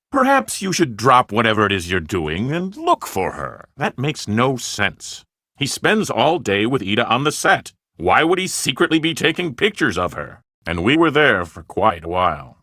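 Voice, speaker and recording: Scheming movie producer for a video game: self-important, formal, emphatic, with a bright, forceful voice and sweeping intonation. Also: male voice, mid-range voice, no echo, studio quality. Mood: brisk, alarmed. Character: scheming, self-important male film producer desperate for publicity.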